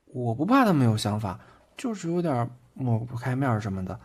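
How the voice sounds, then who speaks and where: An actor playing a teasing, boyish, devoted twenty-year-old boyfriend in intimate audio drama banter, in a colloquial way. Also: male voice, medium pitch, big pitch swings, a little fast, slightly rough. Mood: bashful. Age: young adult. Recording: background music under the voice.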